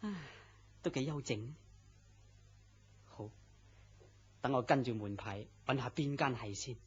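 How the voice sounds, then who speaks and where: A man's voice: mid-range voice, unhurried, breathy edge. A man with a Hong Kong Cantonese accent playing a weary, melancholy, lovesick young man in a radio drama, earnest and plaintive. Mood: quiet, anticipating. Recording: dull lo-fi radio drama audio.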